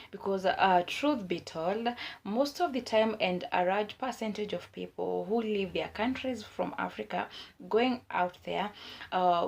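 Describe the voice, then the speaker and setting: Hoarse woman, gravelly, earnest vlog advice, lots of fillers. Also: on the low side. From Kenya. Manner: earnest, repeats herself, many fillers. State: hoarse from a cold. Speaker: YouTube vlogger.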